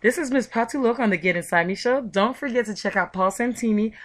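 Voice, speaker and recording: A woman's voice: a little high, fast talker. A woman hosting a chatty promotional interview, talking in an effusive, gushing way. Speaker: show host and interviewer.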